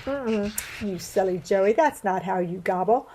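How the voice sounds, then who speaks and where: A woman's voice: medium pitch, a little slow, noisy background, natural voice. A woman voicing a helpful, bossy male dog who chides and instructs in a children's storybook. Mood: chiding. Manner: chiding, instructive.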